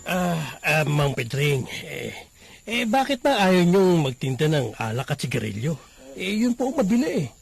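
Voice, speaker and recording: An actor playing an excitable, pleading younger Filipino male neighbor with a husky voice in a religious radio drama. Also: a little high, normal speed, soft background music. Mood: pleading, coaxing.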